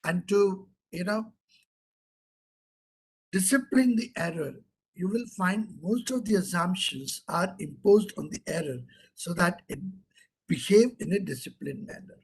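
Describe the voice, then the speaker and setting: A man's voice: sits high, measured pace, creaky, a little hiss or hum, poor audio. A middle-aged man with an Indian English accent, giving an interactive online lecture, asking questions and repeating key terms for emphasis.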